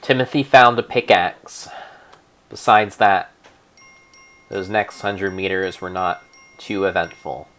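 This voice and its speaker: A man narrating a video game as a dramatic story, in a theatrical, deliberate, mock-solemn manner. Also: low-pitched, steady tone, a bit bright.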